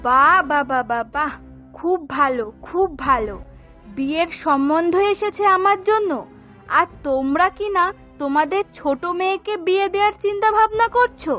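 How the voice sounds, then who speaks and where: A woman voicing a bad-tempered, sharp-tongued young adult daughter in a cartoon, accusing and indignant. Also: very high-pitched, animated, normal speed. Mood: sarcastic, indignant. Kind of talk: Bengali cartoon voice acting. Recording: music behind the voice.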